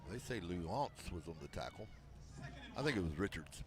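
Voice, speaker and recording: A man with a big, loud voice calling high school football play-by-play from a press box, mixing calls with folksy jokes. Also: expressive, normal speed, music in the background. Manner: folksy, joking banter between calls. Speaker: play-by-play announcer. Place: press box at outdoor stadium.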